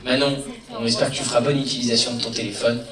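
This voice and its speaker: A man jokes and teases his way through a live film presentation, talking straight to the crowd at an average pitch. He sounds distant and echoey, as if from the stage of a big cinema hall. A loud audience murmurs and reacts all around him. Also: flat delivery, fast talker. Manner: teasing, playful, addresses the crowd directly. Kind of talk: joking live address to a crowd. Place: large cinema auditorium.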